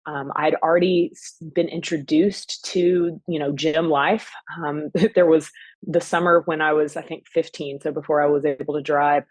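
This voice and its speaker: A woman's voice: low-pitched, steady tone, moderate pace, a little mellow, no room sound. A woman in her forties from Texas with a Texan accent, hosting her own show in a loose, rambling solo talk full of fillers and restarts. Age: 40s. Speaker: podcast host, physician. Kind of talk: casual solo monologue.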